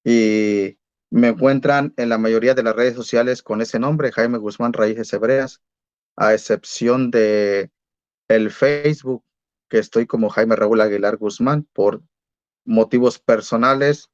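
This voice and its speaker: A man's voice: medium pitch, fairly even intonation, measured pace, dry recording. A man introducing and moderating a debate in an orderly, courteous way. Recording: dry, dull lo-fi audio.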